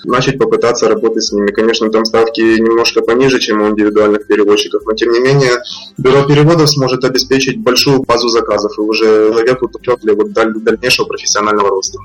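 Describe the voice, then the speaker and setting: A man, a freelance translator, answering podcast interview questions in a measured, explanatory way with fillers and restarts. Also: on the low side, fairly even intonation, soft background music, low-quality recording.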